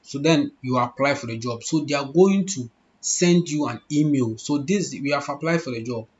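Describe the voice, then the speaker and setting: A young man gives a screen-recorded tutorial. He repeats points and keeps checking that the viewer follows along. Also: normal speed. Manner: repetitive, instructive, checks in with the listener. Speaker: online tutorial host. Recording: muffled low-fidelity audio.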